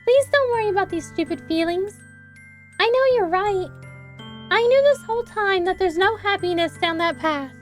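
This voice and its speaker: A woman voicing a heartbroken teenage schoolgirl who pleads in a soft, tearful way. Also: very high-pitched, expressive, moderate pace, faint music behind, pitched above the performer's own voice. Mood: tearful, pleading.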